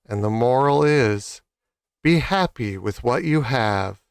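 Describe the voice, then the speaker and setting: A man's voice: mid-range voice, animated, slow pace, gravelly, clear, resonant tone, no echo, studio quality. A man reading scripted children's stories as a storyteller.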